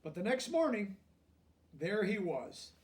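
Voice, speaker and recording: A man reading a children's picture book aloud, deliberately, with simple explanatory asides in plain words. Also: high voice, big pitch swings, far from the mic. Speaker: storybook reader.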